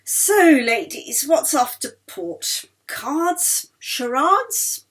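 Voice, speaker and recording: A woman voicing a hearty, teasing 1930s gentleman host in a storybook, with booming, drawn-out, mock-ceremonial delivery. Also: animated, heavily acted. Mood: genial.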